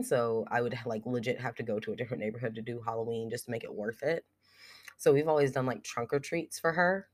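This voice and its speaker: A young podcast host with a cold and a cough drop in the mouth, talking frankly in a casual solo monologue with plenty of fillers and swearing. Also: mid-range voice. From United States. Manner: frank and blunt, swears freely, many fillers like "like" and "um".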